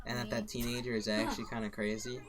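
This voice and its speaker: A man's voice: mid-range voice, level tone, quick pace, background noise. Rambling teenage boy co-hosting a podcast. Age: teens. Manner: rambling, repeats words when starting sentences. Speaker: podcast co-host. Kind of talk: casual chat among friends.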